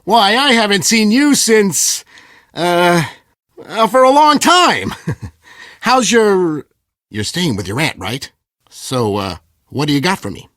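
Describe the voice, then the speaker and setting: A scheming game villain posing as a detective, his voice pitched fairly high and very animated. He is condescending and impatient, with a theatrical edge. The sound is dry and close. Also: slow, long pauses, no room sound, full character voice. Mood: flustered, evasive. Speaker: voice actor in a detective adventure game. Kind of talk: scripted game character dialogue.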